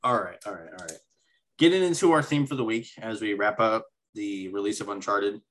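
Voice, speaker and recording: A man's voice: lowish voice, fairly quick, a bit bright, poor audio. A man co-hosting a podcast in casual chat, rambling through his points and slipping in dry jokes. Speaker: podcast co-host.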